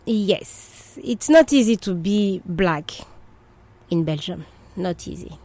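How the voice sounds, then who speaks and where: A woman's voice: on the low side, expressive, slow, a little mellow, no room sound. A woman from the Democratic Republic of Congo with a Congolese French accent, telling her life story as an interview guest in hesitant, short English phrases. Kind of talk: conversational personal life story.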